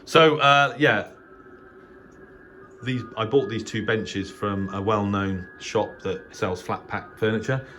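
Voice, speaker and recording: A middle-aged man with an English accent speaks in a very low register. He talks off the cuff like a hobbyist giving a tour, understated and rambling, with frequent hesitations. The home recording has clearly audible background noise. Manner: hesitant, understated, rambling delivery with trailing asides. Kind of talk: casual unscripted video tour. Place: indoor home radio shack.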